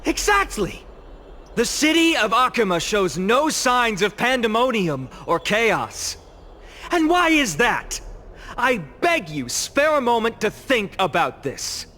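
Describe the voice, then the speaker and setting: A man's voice: extremely high pitch, very animated, slow, pushed and bright, exaggerated voice. An actor playing an impassioned young male orator haunted by loss, speaking theatrically and earnestly in a fantasy video game. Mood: impassioned, rallying. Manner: theatrical, earnest, emphatic rhetorical questions.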